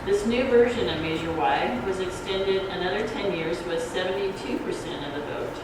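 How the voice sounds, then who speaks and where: Soft-spoken woman in a very echoey hall. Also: fairly low pitch. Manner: halting, hesitant, loses her thread. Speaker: ballot measure proponent, debate speaker. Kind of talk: informal explanatory forum speech. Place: community grange hall. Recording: echoey hall, distant noisy microphone.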